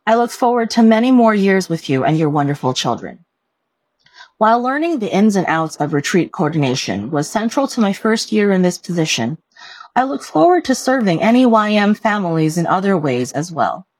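A woman reading a written staff report aloud in a measured, careful way, like a scripted narration. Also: mid-range voice, very animated, no echo, poor audio.

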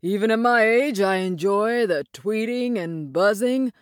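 A woman's voice: medium pitch, clear, resonant tone, no echo, natural voice. Ancient old tree gent, easygoing and wise, put on by a woman, dry and close for a storybook. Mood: musing. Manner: unhurried, warm, self-amused. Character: ancient giant male sequoia, wise and easygoing. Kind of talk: storybook character voice.

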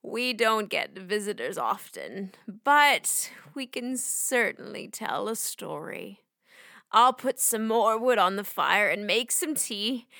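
An actress playing a gruff, folksy old woman hermit in a children's fantasy audio drama. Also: medium pitch, big pitch swings, slow, sharp tone, no echo, clean studio sound, heavily acted. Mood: welcoming, curious. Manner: crotchety, folksy, theatrical. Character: short, gruff but twinkly old woman hermit Maggie.

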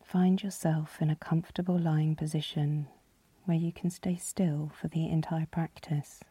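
A woman leading a guided yoga nidra relaxation as the guide, calm and soothing, with a soft, breathy, husky voice. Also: low-pitched, unhurried, no room sound. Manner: calm, soothing, gently instructing.

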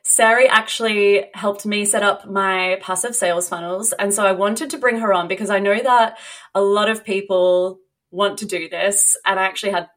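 A woman's voice: medium pitch, steady tone, moderate pace, fairly bright tone. Female podcast host, mid-range voice, effusive and conversational delivery. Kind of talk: podcast introduction and interview chat.

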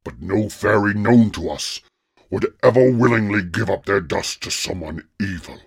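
A man voicing a stately, commanding troll king who speaks slowly and gravely. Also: fairly low pitch, dry recording, clean studio sound. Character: deep-voiced regal troll king, commanding.

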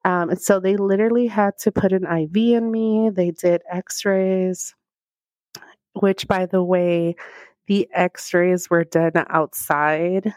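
A woman with a slightly low, scratchy voice talks through a casual solo podcast, rambling and chatty with plenty of fillers. She is alone in a quiet office with a small handheld mic, and the sound is close and dry with no room echo. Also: steady tone, takes it slowly. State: scratchy voice from recovering illness. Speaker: podcast host. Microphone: small handheld microphone.

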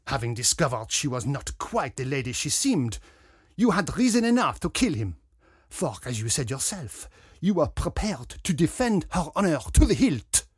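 A man voicing a pompous, dapper little detective with a French accent, theatrical and deliberate, in a mystery story. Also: mid-range voice, breathy edge, dry recording, studio quality, pitched above the performer's own voice. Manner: pompous, self-regarding, deliberate.

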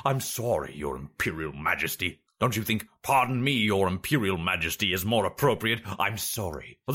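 Man acting a grumbling, low-voiced, paranoid emperor. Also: pushed and bright, heavily acted. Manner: pompous, aggrieved, muttering.

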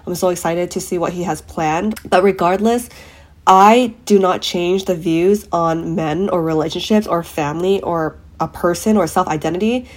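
Slightly raspy woman podcasting with a cold. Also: on the low side, normal speed. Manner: earnest, emphatic, addresses listeners as guys. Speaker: podcast host. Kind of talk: motivational podcast monologue.

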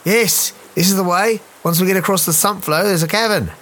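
A man's voice: high-pitched, brisk, fairly bright tone, pitched above the performer's own voice. A man playing a curt, weary cook who impatiently leads a dangerous expedition in a radio play. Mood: businesslike. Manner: bossy, impatient. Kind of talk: radio play character acting.

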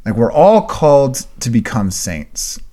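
A young man co-hosting a faith podcast, talking in a relaxed way with lots of "like". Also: mid-range voice, measured pace. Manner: relaxed, many "like" fillers. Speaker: podcast co-host. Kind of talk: casual chat.

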